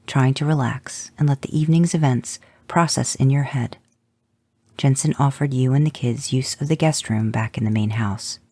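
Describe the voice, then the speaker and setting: Very low gravelly woman narrating. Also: warm tone, no echo. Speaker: podcast host reading fan fiction. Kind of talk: second-person fiction reading.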